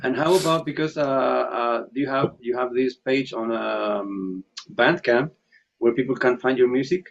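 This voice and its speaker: A man's voice: mid-range voice, measured pace. A man hosting an interview, asking questions and often murmuring short agreements. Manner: frequent short affirmations, searching phrasing. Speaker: interviewer, host. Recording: gravelly muffled lo-fi audio.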